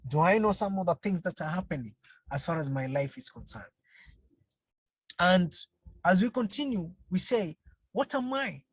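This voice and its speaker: A counselling trainer gives an online webinar talk over a video call. The speaker talks slowly and haltingly, repeats phrases and keeps asking the audience rhetorical questions. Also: mid-range voice, animated, slightly rough, no room sound.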